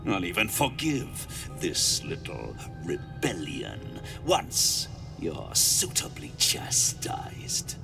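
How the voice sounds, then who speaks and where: A man's voice: sits low, huge pitch swings, drawn-out words, creaky, hardly any pauses, recorded from a distance, exaggerated voice. A man acting a haughty, menacing devil lord in a video game, with theatrical, grandiose delivery and precise diction. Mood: mocking. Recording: game audio with music behind.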